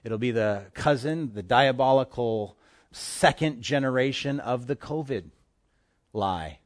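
A man preaching a sermon as pastor of his church, impassioned, swinging between hushed asides and forceful declarations. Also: mid-range voice, expressive, a little slow. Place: church in Hawaii.

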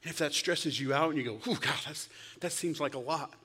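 Male preacher, brightish higher voice, storyteller who swings loud to whisper; long hall reverb. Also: brisk, breathy edge. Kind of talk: sermon.

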